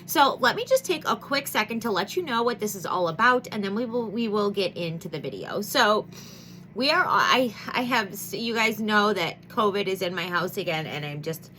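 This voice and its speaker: A woman's voice: medium pitch, expressive, normal speed, bright, forceful tone, slight background noise. Bubbly congested woman beauty vlogger. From Michigan. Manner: bubbly, gushing, rambling with many fillers. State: congested, nasal. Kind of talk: chatty makeup vlog intro.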